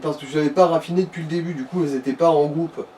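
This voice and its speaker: Man, fairly high voice, slightly distant mic, casual explanatory gaming chat, background noise. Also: fairly even intonation, very fast, dark tone. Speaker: gaming companion giving tips.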